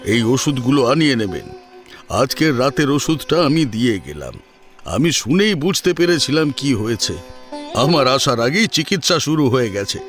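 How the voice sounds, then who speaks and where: A man playing a genial, brisk family doctor in an audio drama, hearty and reassuring in manner. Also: mid-range voice, very animated, lightly acted. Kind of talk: Bengali audio drama acting. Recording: music under the voice.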